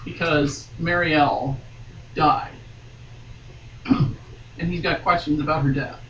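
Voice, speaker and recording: A woman's voice: low voice, steady tone, takes it slowly. A woman reading a novel aloud dramatically, breaking off for playful, mock-dramatic asides. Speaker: podcast guest reading a romance novel aloud. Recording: dull, roomy, distant mic, faint noise.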